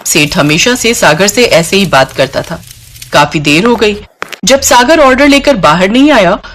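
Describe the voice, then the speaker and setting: A woman's voice: fairly low pitch, a little hiss or hum. A woman narrating a scripted bedtime cartoon story.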